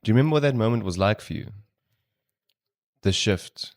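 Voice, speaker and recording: A man's voice: on the low side, expressive, moderate pace, darkish tone, dry recording, clean studio sound. A young man hosting a podcast, an Afrikaans speaker. He talks in a laid-back, slangy way, saying dude and bro. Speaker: podcast host. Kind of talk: casual interview chat.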